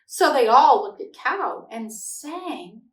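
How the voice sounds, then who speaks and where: Middle-aged female children's read-aloud host, high voice, unhurried delivery, expressive and simple phrasing, recorded at a distance in an echoey room. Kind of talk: picture book read-aloud and gentle talk to young children.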